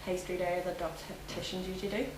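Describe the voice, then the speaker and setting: A young Northern Irish woman talks through interview answers in a chatty way, her voice sitting a little low. She is heard from a distance in an echoey room, with clear background noise under her. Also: flat delivery, fast talker. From Northern Ireland. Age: young adult. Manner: chatty, laughs often, many fillers. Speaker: student interviewee. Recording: echoey room, from afar, noisy.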